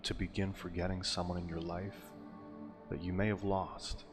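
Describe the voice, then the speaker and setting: Male meditation narrator, very low register, calming and unhurried, pausing between phrases, drenched in long reverb. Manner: soothing, unhurried, deliberate phrasing with pauses. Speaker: hypnosis and meditation guide. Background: music.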